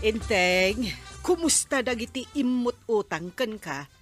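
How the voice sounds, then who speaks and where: A woman's voice: a little high, lively intonation, a little slow, soft background music. A woman playing a nagging, exasperated village wife in an Ilocano-accented comedy radio drama, scolding and insistent.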